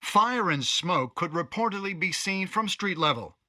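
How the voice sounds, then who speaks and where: An actor playing an opinionated TV news commentator, delivering scripted reports in a pointed, wry, dramatic manner. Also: male voice, high voice, lively intonation, normal speed, sharp tone, heavily acted. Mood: grave, pointed. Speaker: news presenter.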